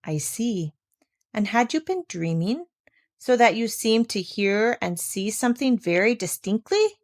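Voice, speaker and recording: A woman reading aloud voices a kindly older male doctor in a storybook, speaking in a gentle, soothing way. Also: a little high, animated, a little slow.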